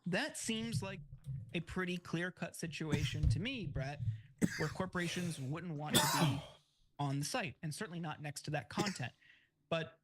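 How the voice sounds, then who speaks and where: A man giving news commentary in a measured, matter-of-fact way. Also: on the high side, lively intonation, background noise.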